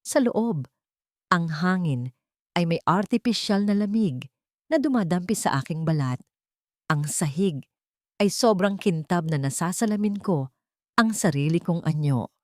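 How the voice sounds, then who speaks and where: A woman reads a scripted dramatic story in the first person. Her voice sits fairly low and is recorded very dry. She is solemn, setting out short phrases with deliberation. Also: expressive, measured pace, long pauses, darkish tone, dry recording. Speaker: narrator.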